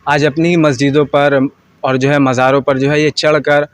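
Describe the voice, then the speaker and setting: A man's voice: medium pitch, little pitch movement, normal speed, no echo. An Indian man earnestly giving informal political opinions in an interview, leaning on repeated filler phrases. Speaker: street interviewee.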